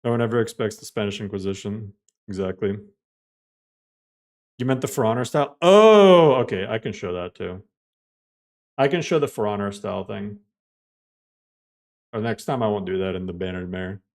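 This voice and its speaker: A man's voice: lowish voice, moderate pace, pauses often. Chatty man streaming a game. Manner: loose and chatty, heavy on "like" fillers; explains things step by step to viewers. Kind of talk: casual live-stream chat while playing.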